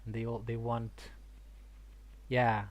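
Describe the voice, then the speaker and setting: Casual, halting male game streamer. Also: mid-range voice, flat delivery. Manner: halting, repetitive. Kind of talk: casual live stream chat, game reactions. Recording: faint background noise.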